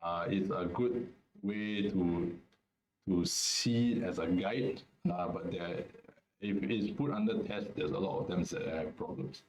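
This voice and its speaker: A low-pitched young man from Singapore talks over a video call. His delivery is relaxed and hesitant, with fillers and restarts. The sound is echoey and muffled. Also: unhurried, slight background noise. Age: young adult. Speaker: student webinar host. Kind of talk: casual webinar discussion.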